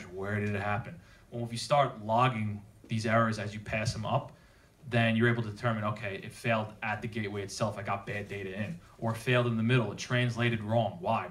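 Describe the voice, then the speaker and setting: A man presenting a technical talk to a live audience, speaking informally and emphatically with rhetorical questions. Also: on the low side. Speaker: conference speaker. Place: theater at a developer meetup.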